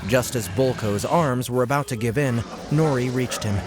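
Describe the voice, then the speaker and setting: A man's voice: medium pitch, moderate pace, background noise. A man narrating an audiobook with dramatic, scripted delivery. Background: loud music.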